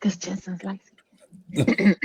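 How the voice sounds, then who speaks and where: Lively, swooping woman in dull podcast audio. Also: medium pitch, drawn-out words, husky, dry recording. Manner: playful, blunt, slangy, interjecting. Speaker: podcast co-host. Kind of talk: casual podcast banter.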